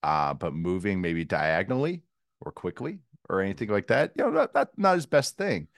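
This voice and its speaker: A man with a very deep voice talks as a guest analyst on a sports podcast. He jokes and rambles, with lots of filler words. The recording is dry and close. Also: sing-song, moderate pace, creaky, no room sound. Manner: joking, rambling with many fillers. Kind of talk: casual sports podcast chat.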